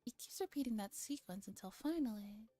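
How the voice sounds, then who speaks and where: A woman streamer reading a visual novel aloud in a soft, relaxed ASMR style, sometimes slipping into a whisper, with casual chat. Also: fairly high pitch, fairly quick, dry recording. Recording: music playing behind the voice. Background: music.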